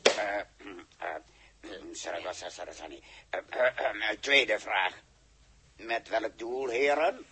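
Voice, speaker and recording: An actor playing a stiff, ceremonious alien interrogator in a 1960s science-fiction radio drama. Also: male voice, fairly low pitch, slow pace, sharp tone, slightly rough, exaggerated voice. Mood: flustered. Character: formal alien interrogator running a truth test. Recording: muffled-edged 1960s radio recording.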